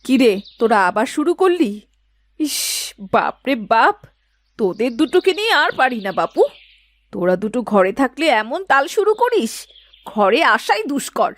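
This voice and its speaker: A woman's voice: high-pitched, sing-song, normal speed, a bit bright, no echo. A woman voicing an exasperated, nagging village mother and wife in a moral-story cartoon, scolding and complaining.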